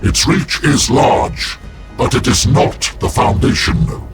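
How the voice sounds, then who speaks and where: Slow, ominous warrior mystic played by a man. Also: mid-range voice, over music, lightly acted. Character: solemn male warrior mystic, zealous and ominous. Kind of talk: dramatic video game acting.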